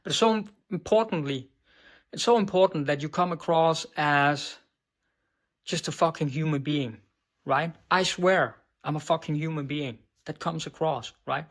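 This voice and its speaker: A man's voice: a little high, normal speed, fairly bright tone. A man from Denmark with a Danish accent, a business coach talking straight to camera, blunt and profane, often tagging "right?" and stumbling over words without stopping. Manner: stumbles and restarts words, unbothered. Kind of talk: direct-to-camera monologue.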